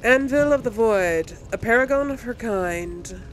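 A woman's voice: fairly high pitch, expressive, measured pace, poor audio. A woman hosting a video game playthrough, chatting informally and thinking aloud as she plays. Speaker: gaming host. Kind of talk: casual let's play commentary. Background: game music.

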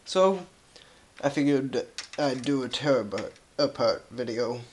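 A young man hosts a casual teardown video, his voice crackly from a cold. He talks slowly and haltingly, often clearing his throat. Faint noise sits under the camera mic in a carpeted room indoors. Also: medium pitch, animated, darkish tone. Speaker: YouTube host. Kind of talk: casual teardown vlog narration.

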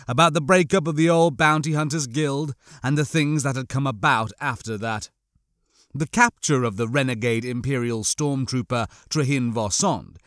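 A man reading a science fiction audiobook as scripted, expressive narration. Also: mid-range voice, no room sound, studio quality.

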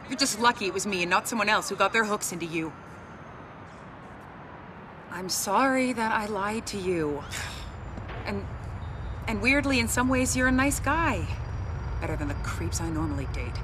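A composed young American woman acted for a video game, an undercover agent with a coolly apologetic manner. Her pitch is average for a woman, and faint noise sits behind her voice. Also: close to an everyday voice. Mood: cool, frank. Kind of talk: video game cutscene acting.

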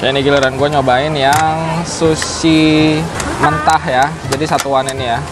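A man's voice: on the high side, flat delivery, unhurried, loud music behind the voice. A young man casually reviewing food as a vlogger. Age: young adult. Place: mall food court.

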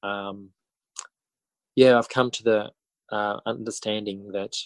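A man in his forties with an Australian accent, talking as a guest in a casual podcast interview in a halting, reflective way. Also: on the low side, fairly even intonation, no echo, low-quality recording. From country New South Wales, Australia. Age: 40s. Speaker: interview guest, schoolteacher and author.

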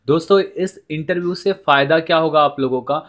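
A man's voice: a little high, fairly quick, a bit bright. A man with an Indian accent giving an informal explainer voice-over, addressing viewers as friends, repeating himself and mixing in English words. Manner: repetitive, often addresses listeners as friends, mixes in English words. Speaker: presenter of a job information video.

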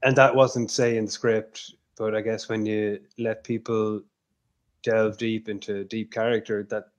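Fifties guy on a headset, thoughtful, kinda flat and low. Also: unhurried. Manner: reflective, steady storytelling. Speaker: film producer. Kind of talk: casual interview answers. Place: indoor room at home. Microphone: headset on a video call.

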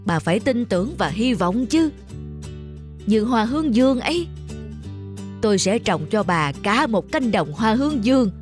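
A kind old husband from a cartoon, voiced by a woman, tenderly reassuring his sick wife. The voice is warm-mannered and soft-spoken, with light music behind it. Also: on the high side, big pitch swings, normal speed, pauses often. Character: gentle loving old husband.

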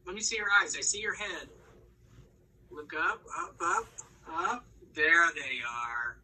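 Husky, animated woman chatting with a child. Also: lowish voice, a little slow, poor audio. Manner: encouraging, prompting with questions. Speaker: parent chatting with a young child. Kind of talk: casual chat with a small child. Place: small bedroom, indoors. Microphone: handheld phone, close by.

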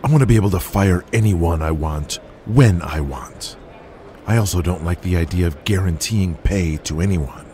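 An actor playing a ruthless, controlling wrestling promoter in an audio drama, speaking in a cold, lecturing manner. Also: male voice, low-pitched, normal speed, mellow, slight background noise, close to an everyday voice. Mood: scheming.

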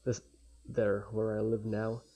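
A young man with a casual, halting, sweary manner reading a visual novel aloud and commenting as he plays. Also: fairly low pitch, completely flat delivery, warm tone. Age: young adult. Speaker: let's play streamer. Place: small room at home. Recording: dull lo-fi mic with faint noise.

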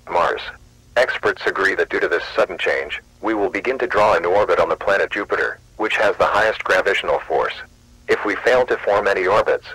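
Deep bright synthetic male announcer. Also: breathy edge, no room sound, low-quality recording. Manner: flat, measured, official. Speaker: emergency broadcast announcer. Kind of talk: scripted emergency alert announcement.

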